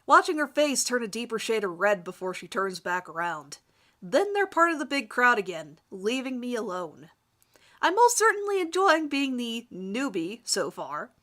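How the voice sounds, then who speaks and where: A woman doing an amateur dramatic reading of a first-person story in an expressive, theatrical manner. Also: on the high side. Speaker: amateur reader of her own fan fiction.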